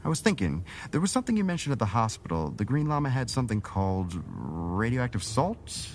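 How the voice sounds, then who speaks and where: An actor playing a scheming city councilman who feigns friendliness in a smooth, theatrical audio drama style. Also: medium pitch, very animated, brisk. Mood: probing.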